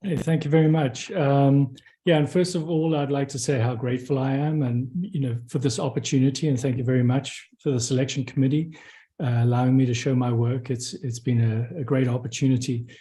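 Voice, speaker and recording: A man's voice: medium pitch, fairly even intonation, a little fast, warm tone. A middle-aged man with a South African accent, introducing himself as a guest on an online panel. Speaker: photographer guest. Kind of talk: self-introduction in a panel talk.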